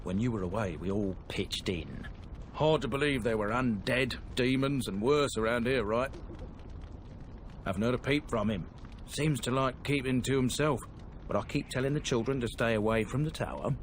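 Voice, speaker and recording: An actor playing an easygoing, chatty dwarf trader in a game. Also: male voice, mid-range voice, normal speed, slight background noise, lightly acted. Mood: friendly. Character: friendly male dwarf trader. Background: game music.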